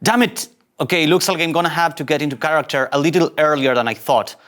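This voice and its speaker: An actor playing a nervous young male ensign who bluffs as a bold captain in a comic sci-fi table read, halting and self-doubting. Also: medium pitch, a bit bright, close to an everyday voice. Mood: forced bravado.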